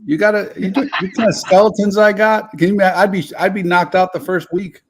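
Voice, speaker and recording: A man's voice: high-pitched, flat delivery, brisk, a crowd in the background. A man in his fifties with a slightly raised voice, chatting on a livestream. He teases the others and laughs easily. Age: 50s. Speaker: livestream panel guest. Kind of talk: casual chat.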